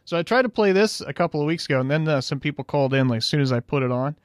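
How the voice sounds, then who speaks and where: A man's voice: on the high side, animated, racing, no echo, studio quality. A man hosting a call-in radio show in a casual, rambling way, his voice tired and fading. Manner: easygoing and rambling, with frequent "uh"s.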